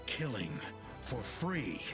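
An actor playing a cynical mercenary assassin in a fantasy video game, speaking in a wry, sardonic manner. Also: male voice, fairly low pitch, sing-song, slow. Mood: wry disdain. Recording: quiet game audio under loud music.

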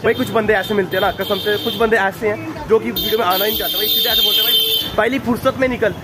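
A man's voice: sits high, expressive, fast talker, very noisy. A young man with a sore throat, vlogging casually and playfully to a phone held at arm's length, first on a busy market street and then at home. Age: young adult. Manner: playful, teasing, keeps saying "guys" and "okay". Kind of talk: casual daily vlog. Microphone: phone camera held at arm's length.

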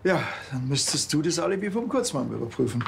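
A man's voice: a little high, animated, fast talker, vocal fry, a little hiss or hum. An actor playing a laconic small-town policeman in a crime comedy, with a Bavarian accent and dry, offhand manner.

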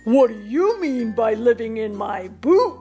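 A woman voicing a big, well-meaning, clumsy male giant in a children's story, speaking slowly and emphatically. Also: on the high side, sing-song, a little mellow, soft background music, exaggerated voice. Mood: booming, indignant. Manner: slow, emphatic.

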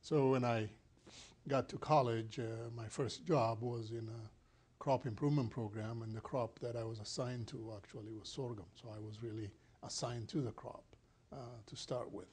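An older man from Ethiopia, a scientist answering interview questions in a measured, thoughtful way with many fillers, recorded on a lapel mic in a small studio. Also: medium pitch, steady tone, darkish tone, breathy edge. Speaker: plant scientist guest. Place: small studio set indoors.

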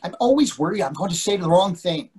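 Give this man's performance of an older stage-comedy husband a husky, rough edge and very animated swings. He is anxious, needling and bossy, forever questioning his wife. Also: high-pitched, fast, exaggerated voice. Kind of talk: readers theatre play reading. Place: indoors on a video call.

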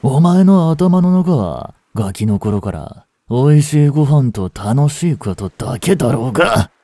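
A man playing a young delinquent in a voice drama, listless and blunt, with rough slangy talk and a caring streak. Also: medium pitch, big pitch swings, unhurried, mellow, no echo. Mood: teasing, blunt. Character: gruff, languid young male delinquent, secretly caring. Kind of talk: Japanese voice drama for female listeners.

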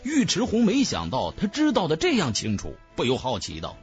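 A man's voice: a little high, huge pitch swings, normal speed. A man narrating a fantasy novel as a dramatic scripted audiobook. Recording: music bed under the voice.

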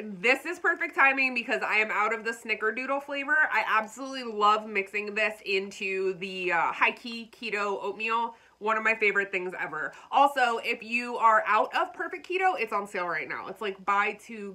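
A woman's voice: fairly high pitch, pushed and bright. Bubbly congested woman vlogging. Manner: bubbly, talks straight to viewers. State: congested, slightly froggy. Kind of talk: chatty unboxing vlog.

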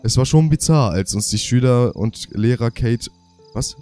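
A man's voice: medium pitch, dark tone. A young man with a cold and a half-blocked nose, reading game diary pages aloud for his let's play and stumbling over words. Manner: stumbling, self-correcting reading. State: congested with a cold.

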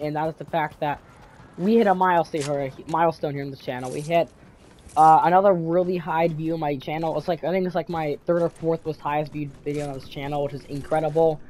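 A man's voice: a little high, darkish tone. Teen male gaming vlogger, congested from a cold, loose rambling delivery full of restarts, faint noise behind. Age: teenager. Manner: rambling, repeats words, many fillers and restarts. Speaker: gaming YouTuber. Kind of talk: casual vlog commentary over gameplay.

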